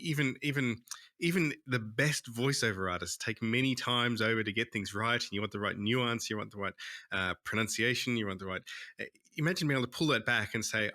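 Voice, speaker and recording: A man's voice: mid-range voice, sharp tone, no echo, clean studio sound. A man with an Australian accent, a guest in an interview, talking in a hesitant way with many restarts and fillers. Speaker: guest, tech founder. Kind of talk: conversational interview.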